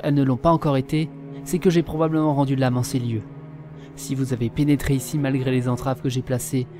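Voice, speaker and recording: Guy playing grave old 1920s manor owner reading a warning letter, mellow voice, bit of music under, formal and solemn. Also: mid-range voice, natural voice. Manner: solemn, literary, measured phrasing. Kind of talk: formal letter read as dramatic voice-over.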